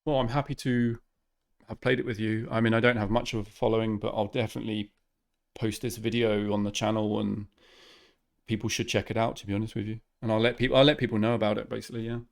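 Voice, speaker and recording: A man's voice: on the low side, fast talker, creaky. A man hosting a casual, friendly chat about a board game he just played. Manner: relaxed, friendly, casual slang. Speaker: channel host.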